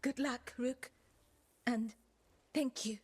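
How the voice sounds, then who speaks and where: Elven scout leader, highish husky voice, composed, serious, authoritative, fantasy video game. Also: female voice, fairly even intonation, slow. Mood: earnest, urgent.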